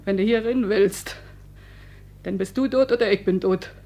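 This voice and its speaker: An actress playing a domineering, anxious working-class wife in a stage drama, with colloquial speech that swings between wheedling and threats. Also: female voice, medium pitch, moderate pace, dark tone, natural voice. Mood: menacing. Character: domineering, anxious working-class married woman, coaxing yet menacing. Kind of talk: theatrical naturalist stage drama acting.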